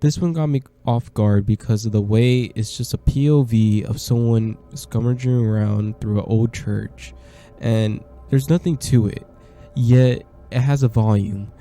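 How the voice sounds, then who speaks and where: Dark-voiced man narrating a horror game. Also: mid-range voice, steady tone, unhurried, soft background music. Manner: plain, conversational, slightly hesitant. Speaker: gameplay commentator. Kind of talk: casual voice-over game commentary.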